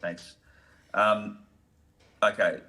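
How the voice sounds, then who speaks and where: A man with a low voice and a bright, forward tone questions witnesses at a committee hearing. He is friendly and light-hearted. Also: level tone, extremely slow. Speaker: committee member.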